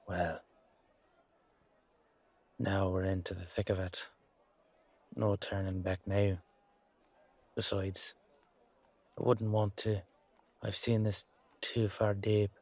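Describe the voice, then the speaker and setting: Man playing a slow-talking, weary knight guardsman. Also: lowish voice, flat delivery, no room sound. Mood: resigned, intrigued. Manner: rambling, colloquial, musing with hesitant pauses. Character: weary, loyal fantasy knight guardsman, curious and down-to-earth. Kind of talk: roleplay audio drama monologue addressed to a partner.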